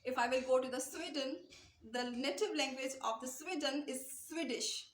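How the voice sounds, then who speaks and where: A woman's voice: sits high, moderate pace, echoey room, a little hiss or hum. A young Pakistani woman with a Pakistani accent gives an earnest English-speaking lesson straight to camera, repeating her points and gesturing with her hands. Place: small indoor room, light wall behind.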